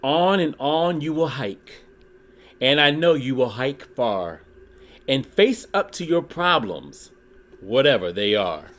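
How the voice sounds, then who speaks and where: A man reading a rhyming children's book aloud in an expressive, deliberate way, pausing dramatically for emphasis. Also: mid-range voice, slight background noise. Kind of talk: expressive storybook read-aloud in rhyme.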